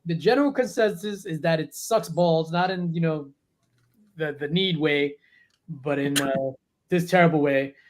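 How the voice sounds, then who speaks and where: High-voiced joking man hosting a panel. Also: moderate pace. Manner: profane, jokey, reads viewer comments. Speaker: podcast host. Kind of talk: casual panel chat.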